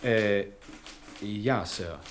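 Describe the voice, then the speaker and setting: A man's voice: fairly low pitch, extremely slow. An actor playing a dry, matter-of-fact male office clerk in a German radio drama. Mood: deferential. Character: male office clerk, deferential upward, snappish toward the junior.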